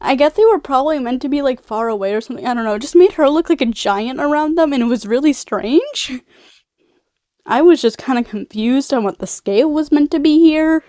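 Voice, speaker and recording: A woman's voice: sits high, animated, fairly quick, warm tone, no room sound, studio quality. A young adult woman with a nasal, congested voice, rambling casually as she recaps a film in a slangy, tangent-prone way. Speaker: video host, film recapper. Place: bedroom.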